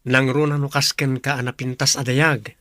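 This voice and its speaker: An actor playing a jovial, humble older brother in a radio drama, with an Ilocano accent. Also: male voice, mid-range voice, level tone, normal speed, a bit bright, no echo, close to an everyday voice.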